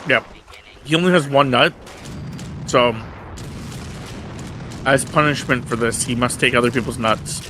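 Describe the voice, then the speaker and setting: A man's voice: medium pitch, a little slow, pauses often. A man joking casually and swearing freely as he plays a game on stream, with faint game noise behind him. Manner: profane, joking. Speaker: co-op game player on a livestream. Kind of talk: casual gaming chat.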